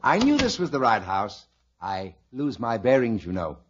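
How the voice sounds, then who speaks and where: A man's voice: mid-range voice, huge pitch swings, moderate pace. An actor playing a kindly, absent-minded older father with a vague, rambling manner in a 1950s radio comedy. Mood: muddled, genial. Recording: muffled, noisy vintage radio recording.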